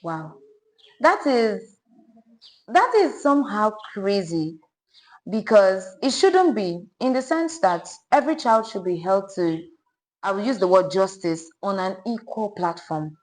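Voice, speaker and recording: A woman's voice: mid-range voice, expressive, measured pace, poor audio. A woman hosting a podcast interview, chatty and often finishing her guest's sentences. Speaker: podcast host.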